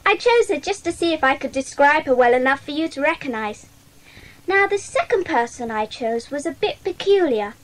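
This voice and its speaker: High-pitched observant schoolgirl. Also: lively intonation, moderate pace, rough recording. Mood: earnest, proud. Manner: precise, earnest. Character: Janet, bright observant schoolgirl club member. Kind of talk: dramatised children's audiobook acting.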